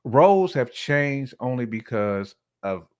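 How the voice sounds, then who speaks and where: A man's voice: mid-range voice, sing-song, slow pace, clean tone. A man giving his opinions in casual podcast conversation, restating his points with emphasis. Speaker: podcast speaker.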